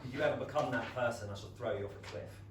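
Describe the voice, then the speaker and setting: An actor playing a dry, aloof young Regency gentleman with an English accent and a curt, deadpan manner. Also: mid-range voice, rapid-fire, close to an everyday voice. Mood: dry, teasing. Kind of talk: staged reading of a comic play. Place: small theatre stage. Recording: very echoey theatre, distant, clear noise.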